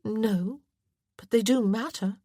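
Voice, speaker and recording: A woman acts out a well-mannered wife in a period romance audiobook, earnest and pleading. The voice is lifted above her narration, with a husky grain and a dry, close sound. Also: on the high side, slow pace, mellow, no echo, pitched above the performer's own voice. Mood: earnest protest.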